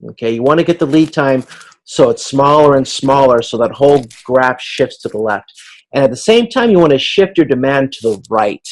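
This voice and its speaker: A man giving an informal explanatory lecture as a class instructor, talking conversationally and haltingly as he thinks aloud through everyday examples. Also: mid-range voice, lively intonation. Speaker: instructor leading a class video conference.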